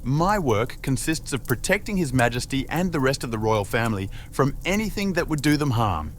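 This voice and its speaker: A man's voice: medium pitch, big pitch swings, moderate pace, a little hiss or hum, voice pushed up, close to an everyday voice. A man voicing a pompous male royal guard who scolds in a prim, stuffy manner. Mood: scolding.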